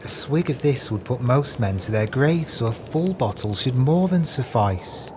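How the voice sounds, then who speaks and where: An actor playing a gleeful, crooked swindler with a sly, florid, falsely friendly manner in an audio play. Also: male voice, mid-range voice, lively intonation, a little slow, background noise, lightly acted. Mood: sly scheming.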